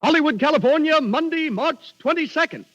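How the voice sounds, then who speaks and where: A man's voice: very high voice, lively intonation, fairly quick, muffled recording. An American man announcing in a formal, declamatory showman style for a 1930s radio broadcast, on a vintage recording. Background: music.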